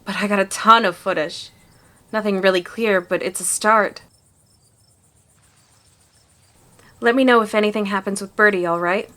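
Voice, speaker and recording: A plucky young woman played by a female voice actor in a visual novel dub. Her voice sits at a middle pitch, and she talks in an informal, matter-of-fact way. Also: fairly even intonation, a little fast, fairly bright tone, close to an everyday voice. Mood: earnest. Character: plucky, casual young woman amateur cryptid hunter.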